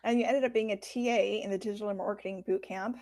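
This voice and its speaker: A woman's voice: medium pitch, steady tone, fast talker. A woman co-hosting an interview, chatting in an encouraging, friendly way. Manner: encouraging, chatty, warm praise of guest. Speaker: co-host. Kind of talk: casual interview chat.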